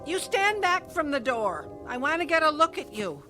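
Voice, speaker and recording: A woman's voice: high voice, big pitch swings, normal speed, sharp tone, soft background music, exaggerated voice. An actress playing a cautious, lonely older woman in a radio drama, hesitant and wary. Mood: alarmed, wary.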